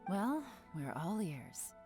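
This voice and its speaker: A cool, shrewd lady spymaster in a video game. The voice is low and composed, speaking with quiet authority over clear background music. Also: big pitch swings, takes it slowly. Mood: cool, commanding.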